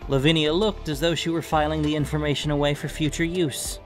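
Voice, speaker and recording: A man's voice: a little high, level tone, fairly quick. A man reading scripted fantasy fiction as an audiobook narrator. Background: music.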